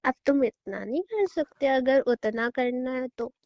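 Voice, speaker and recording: A woman's voice: sits high, expressive, a little slow. A young woman bantering playfully with her boyfriend in a casual phone call. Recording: dull lo-fi call recording.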